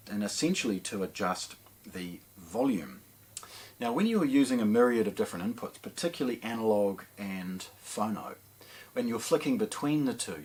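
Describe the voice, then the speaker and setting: Kiwi guy doing a product walkthrough, lowish husky voice, explains stuff carefully and repeats words for emphasis. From New Zealand. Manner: measured explaining with emphatic repetition. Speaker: hi-fi shop presenter.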